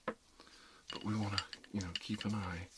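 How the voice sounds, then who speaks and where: A low, soft male voice of a man acting as a calm emergency dentist in an ASMR roleplay. He soothes and reassures without hurry, often dropping into a whisper. The recording has clear background noise with small clicks. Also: natural voice. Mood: reassuring. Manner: soothing, unhurried, reassuring, talking a patient through each step. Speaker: ASMR roleplayer playing a doctor.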